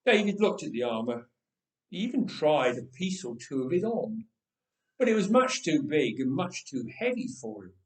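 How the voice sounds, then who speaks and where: A man reading a story aloud in an expressive, theatrical and deliberate way. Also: a little high. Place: echoey church interior. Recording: echoey room, distant from mic.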